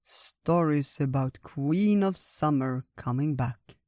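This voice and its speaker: An unhurried, wise old male bear from a storybook, acted out by a narrator, welcoming guests and telling tales with theatrical, drawn-out phrases. The sound is dry and gentle. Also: mid-range voice, no echo, lightly acted. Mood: curious. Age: older.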